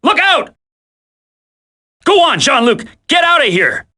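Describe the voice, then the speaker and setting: A man's voice: extremely high pitch, sing-song, takes it slowly, pushed and bright, full character voice. An actor playing a cocky, grumbling male commando in a video game, talking in a brash, colloquial way. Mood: urgent. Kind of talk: dramatic video game voice acting.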